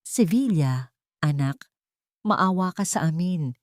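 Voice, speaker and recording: A woman's voice: fairly low pitch, animated, takes it slowly, darkish tone, no room sound, clean studio sound. A woman voicing a scheming old mother-in-law in an audio story, pleading desperately.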